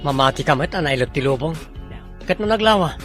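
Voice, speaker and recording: A man plays a brooding, lovelorn boss in a radio drama. He muses aloud, with music clearly playing behind him. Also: on the high side, animated, fast, a bit bright. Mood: impatient. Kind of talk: Filipino radio drama dialogue.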